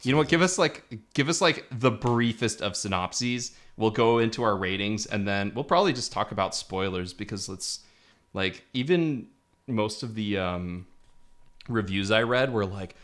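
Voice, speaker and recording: A man's voice: fairly low pitch, normal speed, fairly bright tone. A man co-hosting a casual movie review podcast, rambling and restarting his sentences, laughing often. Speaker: podcast co-host.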